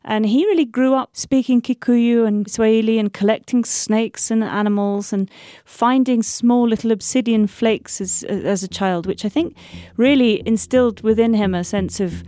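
A woman's voice: a little high, steady tone, moderate pace, mellow, no room sound, clean studio sound. Thoughtful woman paleontologist explaining. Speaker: paleontologist guest. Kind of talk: interview.

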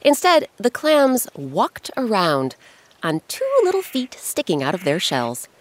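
A woman hosting and narrating a scripted children's story with expressive, emphatic delivery and dramatic pauses. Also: medium pitch. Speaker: host and narrator. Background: music.

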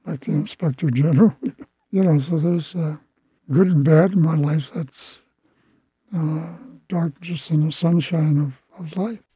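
A man in his eighties from Wisconsin with an American accent, recalling his life in a halting, plain-spoken, reflective way. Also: a little high, steady tone, dark tone, slightly rough, dry recording, rough recording. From Wisconsin, United States. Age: 80s. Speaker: interviewed community elder. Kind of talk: reflective personal recollection.

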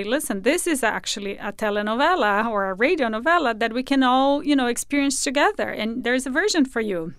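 A woman being interviewed as an audio drama creator, explaining things in a casual, animated way. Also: on the high side, clean tone, no echo, studio quality.

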